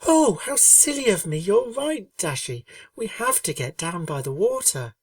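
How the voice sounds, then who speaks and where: A woman voicing a shy, gentle young female pegasus pony who speaks softly and soothingly. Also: medium pitch, big pitch swings, measured pace, dry recording, recorded from a distance, voice pushed up. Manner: soothing, soft, quiet.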